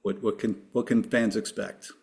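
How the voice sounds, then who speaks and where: A man's voice: fairly low pitch, level tone, normal speed, gravelly, darkish tone. A man hosting a podcast, asking an upbeat string of numbered questions to his guest. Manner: upbeat, reads structured questions. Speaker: podcast host. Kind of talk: interview questions.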